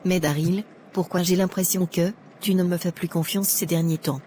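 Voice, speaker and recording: A woman playing a gentle young fiancée. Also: on the low side, steady tone, normal speed, a little hiss or hum, close to an everyday voice.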